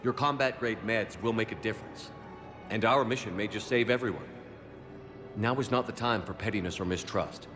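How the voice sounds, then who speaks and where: A man's voice: fairly low pitch, normal speed, music in the background, lightly acted. An actor playing a stern male military commander with a dry, clipped, authoritative manner in a game cutscene. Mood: firm. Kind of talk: video game cutscene acting.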